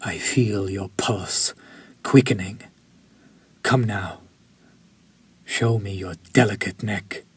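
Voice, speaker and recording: An actor playing a refined, possessive male vampire chef who speaks formally, slowly and with quiet menace, in an audio roleplay for a listener. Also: on the low side, husky, heavily acted. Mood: seductive. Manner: slow, formal, seductive and menacing.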